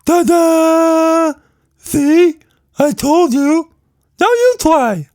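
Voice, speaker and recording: A man voicing an excitable, showy pink shape-shifting monster in a children's story. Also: extremely high pitch, very slow, no room sound, clean studio sound, voice pushed up, heavily acted. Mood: excited, showy. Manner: boastful, exclamatory, eager to show off.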